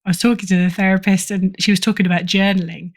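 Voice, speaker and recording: A woman's voice: mid-range voice, fairly even intonation, fast talker. Chatty, self-deprecating middle-aged woman. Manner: self-deprecating, chatty, chuckles through remarks. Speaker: podcast guest, writer and editor. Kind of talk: casual interview talk.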